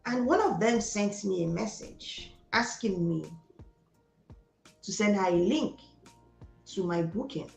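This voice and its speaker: A woman's voice: medium pitch, expressive, slow, creaky, long pauses, a little mellow, echoey room, soft background music. A woman with a Nigerian Igbo accent, a psychologist speaking as a guest, giving emphatic motivational advice, repeating words for stress and slipping into Pidgin. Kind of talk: motivational conversation.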